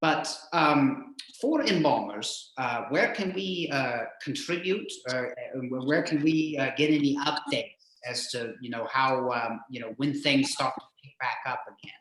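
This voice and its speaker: A man hosting a casual interview in a hesitant, halting way, often repeating his words, in a very echoey recording. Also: medium pitch, a bit bright. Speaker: show host, interviewer. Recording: very echoey, reverberant room sound.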